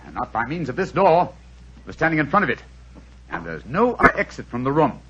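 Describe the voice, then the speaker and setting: Sharp, unflappable detective; lively pitch, lo-fi vintage radio. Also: male voice, fairly quick. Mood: commanding. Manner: crisp, commanding, dryly amused. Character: brisk, cool-headed male detective. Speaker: actor playing a detective. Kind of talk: 1940s radio acting. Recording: dull old radio recording with hiss.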